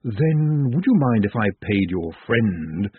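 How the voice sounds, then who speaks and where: Man playing a grand, husky dwarf madame. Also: lowish voice, very animated, slow pace, dry recording, exaggerated voice. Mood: businesslike. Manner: smooth-talking, confiding, condescending. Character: shrewd, grand female dwarf fashion madame. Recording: muffled phone-like narrow band.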